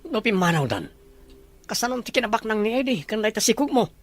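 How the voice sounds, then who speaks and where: A woman's voice: fairly high pitch, sing-song, a little fast. A woman playing a frank, questioning friend and confidant in an Ilocano audio drama. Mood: curious, frank.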